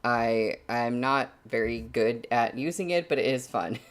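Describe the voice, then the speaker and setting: Slow-paced readalong host with a mid-range voice. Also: mid-range voice. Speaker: host of a livestreamed book readalong. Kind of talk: casual livestream chat and narrated book reading.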